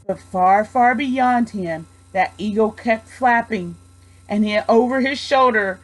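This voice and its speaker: A woman narrates a rhyming children's story. Her voice sits fairly high and she takes her time, reading expressively. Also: takes it slowly, low-quality recording. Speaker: storybook reader, student teacher.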